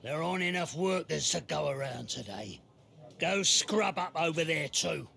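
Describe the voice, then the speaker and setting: Gravelly, coarse slave trader. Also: male voice, on the high side, expressive, moderate pace, bright, forceful tone, a little hiss or hum, heavily acted. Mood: contemptuous, angry. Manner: rough colloquial speech, insults. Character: coarse, cruel slave-trading shopkeeper who fawns on nobility. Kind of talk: scripted radio drama acting.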